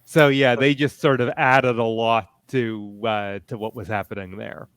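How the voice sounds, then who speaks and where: A man on a casual fan panel, explaining things hesitantly with frequent pauses to find his words. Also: medium pitch, fairly even intonation, a bit bright, clear, resonant tone, no room sound.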